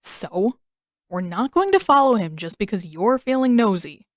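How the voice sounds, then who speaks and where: A woman's voice: medium pitch, sing-song, normal speed, no echo, clean studio sound, lightly acted. A woman voicing a sarcastic, exasperated teenage boy in an audiobook story, with emphatic delivery. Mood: grumbling. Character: sarcastic teenage boy, exasperated, nervous big-brother type.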